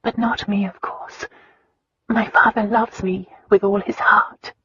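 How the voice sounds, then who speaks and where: A reader voicing a gentle, earnest young woman who speaks softly and sincerely, at times in a whisper. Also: medium pitch, flat delivery, a little slow, breathy edge, voice pushed up, full character voice. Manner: soft, sincere, sometimes whispering. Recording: dull lo-fi audiobook recording.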